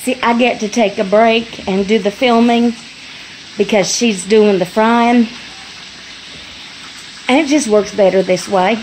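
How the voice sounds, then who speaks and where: A woman's voice: mid-range voice, fairly even intonation, measured pace, pauses often, darkish tone, a little hiss or hum, rough recording. A middle-aged woman, chatting folksily as she films on a phone in a small home kitchen. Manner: folksy, chatty, laughs easily. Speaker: homestead vlogger. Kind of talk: casual chat. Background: food frying and sizzling.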